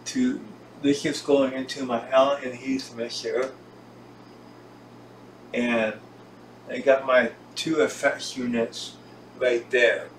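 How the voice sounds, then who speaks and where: A man talks casually and haltingly, as if showing someone around while he films. His pace is slow and his phrases come in small pieces. The sound is roomy and distant from the mic, with a faint layer of noise. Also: medium pitch, little pitch movement. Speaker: home recording hobbyist presenting own gear. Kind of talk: casual gear tour, narrated while filming. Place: home recording room, indoors. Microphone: camera on tripod, some distance away. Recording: roomy echo, distant mic, hissy background.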